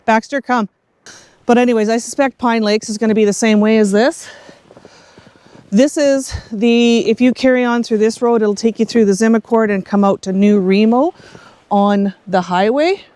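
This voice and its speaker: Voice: normal speed, a little mellow, no room sound. A middle-aged Canadian vlogger narrating casually and candidly, thinking aloud with a wry, rambling manner. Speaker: outdoor travel vlogger. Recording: noisy, vehicle and outdoor rumble.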